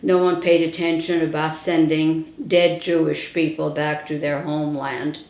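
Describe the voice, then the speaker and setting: A woman's voice: low-pitched, flat delivery, unhurried, darkish tone, slight background noise. An older woman, recalling her childhood as a storyteller with wry asides. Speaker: interviewee. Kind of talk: personal oral-history reminiscence. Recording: roomy indoor echo.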